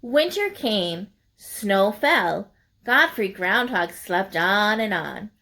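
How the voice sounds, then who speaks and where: A woman's voice: mid-range voice, expressive, slow, sharp tone. A woman reading a picture book aloud like a teacher, in a sing-song, explaining way for young listeners.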